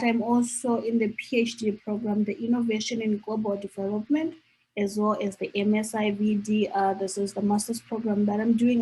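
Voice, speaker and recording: A woman's voice: mid-range voice, dark tone, slightly rough, low-quality recording. A middle-aged woman with a Zimbabwean accent introducing herself informally as a student panelist in a webinar.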